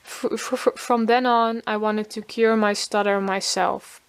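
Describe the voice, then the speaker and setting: Teen girl telling her story, stutters a lot, soft and thoughtful, middle pitch. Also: steady tone, measured pace, clear, resonant tone. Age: teens. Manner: stutters with repeated sounds. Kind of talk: pre-recorded personal narration.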